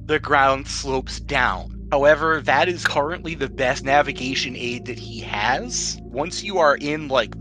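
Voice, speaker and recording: A man's voice: fairly high pitch, rough recording. A man working as game master, narrating a tabletop game casually with irreverent, joking banter and casual swearing.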